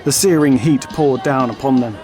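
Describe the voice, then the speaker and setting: A man's voice: medium pitch, moderate pace, darkish tone, soft background music, some chatter behind. A man reading scripted narration for an audio drama.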